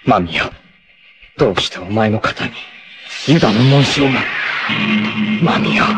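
An actor playing a proud, fierce, devoted martial-arts warrior facing death, with solemn, dramatic delivery in 1980s anime style. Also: male voice, fairly low pitch. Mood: troubled, urgent. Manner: solemn, dramatic, deliberate delivery with intense outbursts.

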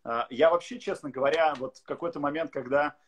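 Guy in his 30s hosting a video call chat, husky voice, lots of ums. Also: on the high side. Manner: relaxed, many filler pauses, friendly laughs. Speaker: live-stream host. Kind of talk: casual interview chat. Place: indoor room.

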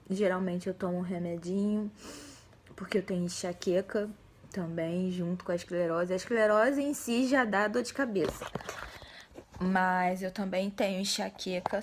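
A woman in her twenties with a Brazilian Portuguese accent, hoarse from a cold, chatting casually to the camera in a vlog and often dropping into a breathy half-whisper. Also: mid-range voice. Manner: relaxed, chatty, drawn-out words. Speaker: vlogger. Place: small bedroom indoors. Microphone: phone or webcam at arm's length.

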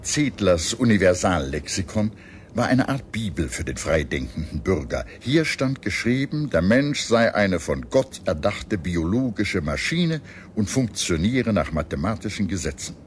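A man reading a scripted history documentary in a measured, expressive storytelling manner. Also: low-pitched, slight background noise. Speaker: documentary narrator. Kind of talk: scripted documentary narration with dramatized quotes. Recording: music under the voice. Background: background music.

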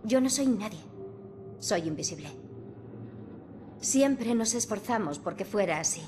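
An actress with a Castilian Spanish accent playing a defiant, sarcastic young medium in a video game, curt and guarded. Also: female voice, mid-range voice, animated, a little slow, noisy background, lightly acted. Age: young adult. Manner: curt, snarky. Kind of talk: video game cutscene dubbing.

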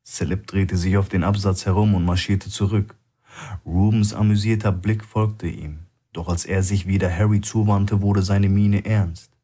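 Deep, dark, husky male narrator. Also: level tone, normal speed. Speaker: audiobook narrator. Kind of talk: scripted narration of a thriller.

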